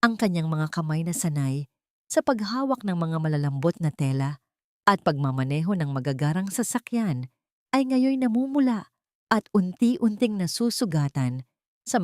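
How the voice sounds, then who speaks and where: A woman's voice: lowish voice, lively intonation, moderate pace, a little mellow, no echo, studio quality. A woman narrating a scripted dramatic story in a solemn manner.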